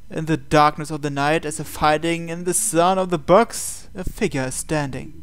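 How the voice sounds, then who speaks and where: A man's voice: fairly high pitch, clean tone. A man hosting a game playthrough, reading visual novel narration aloud expressively with casual asides.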